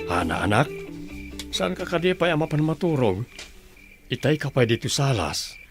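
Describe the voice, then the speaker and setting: A man's voice: medium pitch, big pitch swings, normal speed, over music. A man playing a caring, worrying, hardworking father in an Ilocano radio drama, with a Filipino accent. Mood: gentle concern. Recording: faint background noise.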